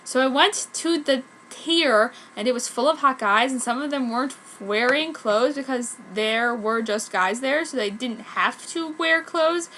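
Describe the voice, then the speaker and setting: A woman's voice: sits high, a little slow, few pauses, bright, forceful tone, a little hiss or hum. A woman narrating fan fiction in a mock-serious dramatic style, with exaggerated emphasis and stumbles over words.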